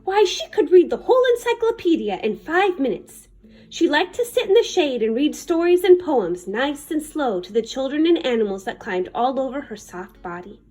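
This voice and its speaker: A woman reading a children's picture book aloud in an expressive, dramatic manner. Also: high voice, moderate pace. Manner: animated, dramatic. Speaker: children's story reader.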